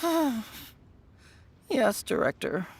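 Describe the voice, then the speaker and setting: A woman's voice: on the high side, very animated, very slow, a little hiss or hum, exaggerated voice. A woman voicing a hesitant, long-suffering employee in an anime-style video game. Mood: resigned. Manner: hesitant, resigned.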